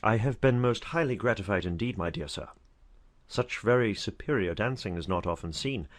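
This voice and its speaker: A man playing an effusive, courtly older Regency knight with a flattering, pompous manner in a dramatized audiobook. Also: lowish voice, moderate pace, lightly acted.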